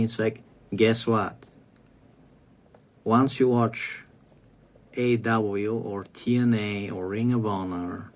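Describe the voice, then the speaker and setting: Raspy Bulgarian-accented man ranting. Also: medium pitch, a little mellow. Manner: blunt, provocative, repeats himself. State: coughing, throat clearing. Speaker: wrestling commentator vlogger. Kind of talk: casual opinionated rant.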